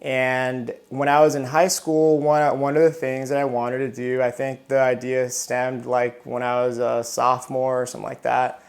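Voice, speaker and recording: A man's voice: medium pitch, flat delivery, moderate pace, creaky, few pauses. A man in his thirties from Southern California, a retired Marine telling his life story in a casual interview, often restarting and repeating words.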